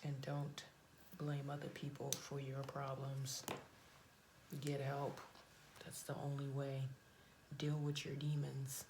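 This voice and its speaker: A woman chatting casually through a makeup tutorial at home, blunt and chatty, her voice hoarse and cracking. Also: a bass voice, completely flat delivery, takes it slowly, pauses often, noisy background. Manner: chatty, blunt, frequent fillers and restarts. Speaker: beauty vlogger.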